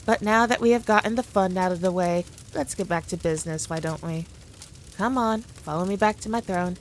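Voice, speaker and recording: A woman's voice: lowish voice, fairly quick, slight background noise, lightly acted. A woman playing a teasing, condescending demon queen in an ASMR roleplay audio drama, taunting the listener with haughty playfulness. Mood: smug, composed. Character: all-powerful demon queen, smug, dominant, teasing, condescending.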